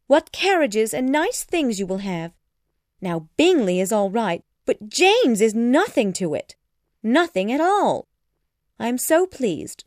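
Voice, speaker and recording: A flighty middle-aged mother in a storybook, speaks very high and loud. She gushes in excitable, effusive exclamations, swooping up and down in a dry, close recording. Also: dry recording.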